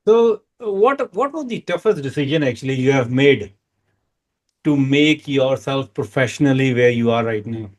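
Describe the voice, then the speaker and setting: A man's voice: mid-range voice, expressive, moderate pace. A man hosting an interview, asking questions in an upbeat, encouraging way. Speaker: host.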